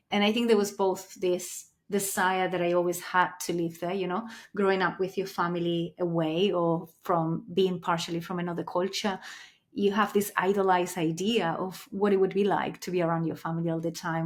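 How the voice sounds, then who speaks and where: Lower-voiced woman. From Canary Islands, Spain. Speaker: podcast guest, clinical psychologist. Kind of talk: reflective conversational interview answers.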